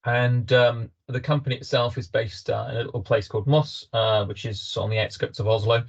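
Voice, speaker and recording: A British man presents his company in a business webinar, stopping often to fill gaps with ums and start sentences over. His voice sits at an ordinary male pitch. The call audio is dull and lo-fi. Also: steady tone, brisk, vocal fry. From Britain. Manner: hesitant, many ums and restarts. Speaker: webinar host, company representative.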